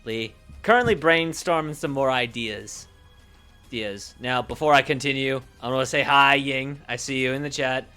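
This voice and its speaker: Male streamer, mid-pitched, halting delivery with repeated words, narrating campaign notes over background music. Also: bright, forceful tone, smooth. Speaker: livestreamer and game master brainstorming a campaign. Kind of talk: reading backstory summaries and chatting to stream. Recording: music playing behind the voice.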